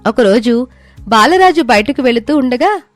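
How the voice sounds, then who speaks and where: A woman's voice: fairly high pitch, lively intonation, unhurried, no echo, soft background music. A woman storyteller delivering scripted narration of a village tale.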